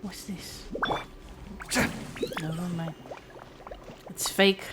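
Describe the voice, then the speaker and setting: A woman's voice: lowish voice, steady tone, very slow, heavy background noise. A woman game streamer giving casual live commentary in short, muttered reactions. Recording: loud game music behind voice.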